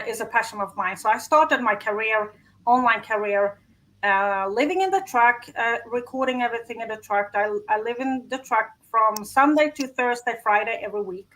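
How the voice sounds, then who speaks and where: A woman's voice: on the high side, a bit bright, low-quality recording. A woman from Sweden with a Swedish accent, talking casually as a podcast guest about her work and her story, laughing now and then. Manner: chatty, laughs while telling her story. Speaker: guest, business strategist. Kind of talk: casual interview talk.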